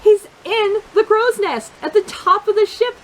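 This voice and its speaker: A woman reading a picture book aloud for young children, expressive and encouraging, pausing to put questions to her listeners. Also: very high voice, low-quality recording. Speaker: children's storytime reader.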